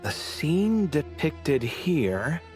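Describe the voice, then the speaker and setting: An inquisitive, easily exasperated young game adventurer. Her delivery is unhurried and dry, with lively swings in pitch. Her sardonic edge sits over soft background music. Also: full character voice. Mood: curious. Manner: dry, sarcastic, expressive. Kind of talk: video game character dialogue.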